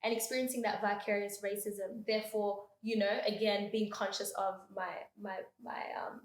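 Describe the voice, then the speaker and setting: A woman's voice: medium pitch, level tone, moderate pace, reverberant, poor audio. A woman in her twenties from Australia with an Australian accent, chatting expressively as a podcast guest and thinking aloud with fillers. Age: 20s. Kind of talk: casual chat.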